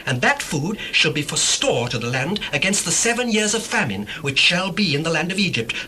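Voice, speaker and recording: An actor playing an earnest young Hebrew dream interpreter in a dramatized scripture reading, solemn and declamatory. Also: male voice, on the high side, very animated, fairly quick, bright, forceful tone, a little hiss or hum, exaggerated voice. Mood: urgent, advising.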